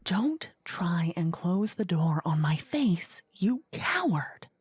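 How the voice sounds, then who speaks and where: A woman's voice: on the low side, big pitch swings, slow pace, darkish tone, a little hiss or hum. A woman playing a spiteful, taunting rival necromancer woman in a whispery fantasy ASMR roleplay, menacing and mocking. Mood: indignant, demanding.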